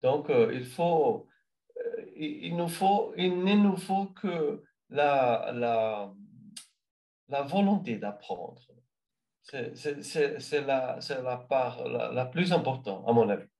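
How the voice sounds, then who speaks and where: A man from Brazil speaking French as a learner, with a Brazilian Portuguese accent, answering interview questions slowly and hesitantly as he searches for words. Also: mid-range voice, gravelly, warm tone.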